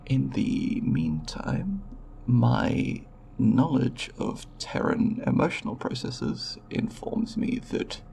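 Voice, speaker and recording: A man playing a nervous, formal alien researcher in an ASMR audio roleplay, with a creaky voice and precise, clinical, hesitant wording. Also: medium pitch, big pitch swings, slow, dark tone, slight background noise, heavily acted. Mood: anxious, placating. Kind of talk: ASMR roleplay, recorded report then reassurance.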